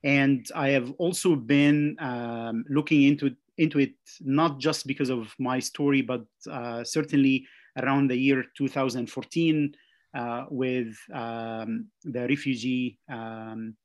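Guy, professor type, lots of uh and um, slow and careful, regular mid pitch. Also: steady tone. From Egypt. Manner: measured, many "uh" and "um" hesitations. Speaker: seminary professor and former pastor, guest. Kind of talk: guest in a church conversation. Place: church service.

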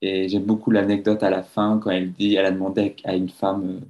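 Very deep-voiced hesitant man. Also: monotone, fairly quick, a little mellow, muffled recording. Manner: hesitant, with many fillers. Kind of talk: casual commentary.

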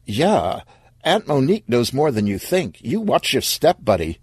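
A man voicing a brisk, bossy, protective aunt in a storybook reading, firm and emphatic. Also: medium pitch, big pitch swings, husky, no echo, studio quality, pitched above the performer's own voice. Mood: stern.

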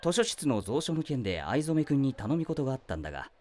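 A lively, melodic male voice plays a meddling teacher, acted by a man in a voice drama. He teases and probes a student, then lectures in a wry tone. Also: mid-range voice, fast, lightly acted. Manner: wry, lecturing, gently probing. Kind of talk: voice drama acting.

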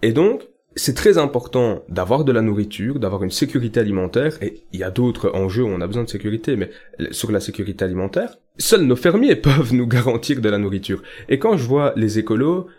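A man's voice: lively intonation, brisk, darkish tone. A young Belgian man hosting a political podcast, speaking earnestly and stressing his key points. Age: young adult. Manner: earnest, emphatic, rises in pitch on key points. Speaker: podcast host.

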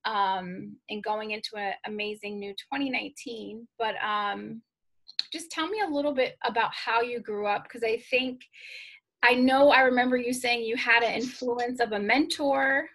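A woman's voice: fairly high pitch, normal speed, fairly bright tone, poor audio. A woman hosting a podcast in a casual, conversational interview style. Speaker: podcast host.

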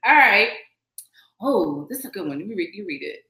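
A woman co-hosting a podcast live stream, talking with lively enthusiasm and lots of hesitant fillers. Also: medium pitch, moderate pace, echoey room. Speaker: podcast co-host. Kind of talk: casual live-stream chat.

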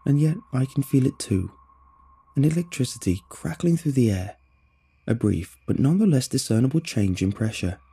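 A man's voice: medium pitch, expressive, moderate pace, warm tone, slightly rough, pitched above the performer's own voice. A man voicing an anxious young woman who blames herself and speaks earnestly in a storybook. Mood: hushed. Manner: earnest, self-reproaching. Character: anxious, guilt-ridden young woman guide.

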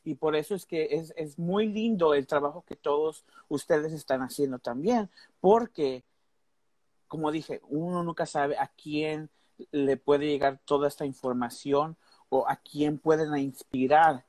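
Hombre titubeante, mezcla inglés. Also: male voice, fairly high pitch, a little slow, a little mellow, no echo. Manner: hesitant, searching for words, mixes in English. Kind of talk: casual panel conversation.

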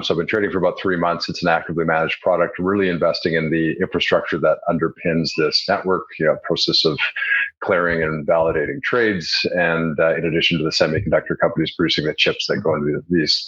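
A man's voice: very deep voice, level tone, fast talker, fairly bright tone, slightly rough. A man speaking conversationally as a finance professional and guest on a panel interview. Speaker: guest, fund manager. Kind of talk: conversational self-introduction on a panel. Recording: dull, lo-fi sound.

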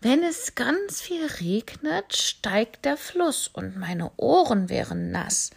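A woman voicing a cheerful, muddled bat in a children's picture-book story, with a high, chirpy manner. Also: big pitch swings, slow, vocal fry, breathy edge, full character voice. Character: odd, cheerful bat who sees everything upside down.

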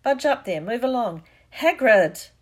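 A big, rough-spoken storybook man, played by a woman narrator, fond and protective. He talks in rustic, colloquial phrases with dropped words, and projects heartily above ordinary talk. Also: medium pitch, sing-song, normal speed. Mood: hearty, friendly.

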